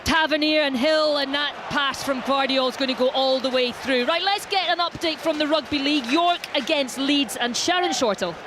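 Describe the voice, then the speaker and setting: A woman giving play-by-play commentary on a live football match, rising to shouts when the ball reaches the goalmouth. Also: high-pitched, moderate pace, hardly any pauses. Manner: rises to shouts at goalmouth action. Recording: noisy background.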